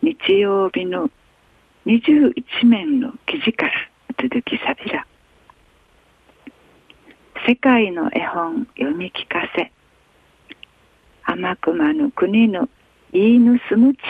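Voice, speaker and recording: A woman radio host reading a newspaper article aloud in a slow, measured way, with an Okinawan accent. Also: high-pitched, big pitch swings, gravelly, slightly rough, no room sound. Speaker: radio news reader. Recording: tinny phone-like radio sound.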